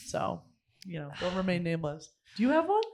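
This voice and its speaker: A woman co-hosting a podcast who has a low voice and tells rambling stories in casual chat, with many fillers. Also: very animated, a little fast, gravelly, a little mellow. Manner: chatty storyteller, rambling with fillers. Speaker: podcast co-host.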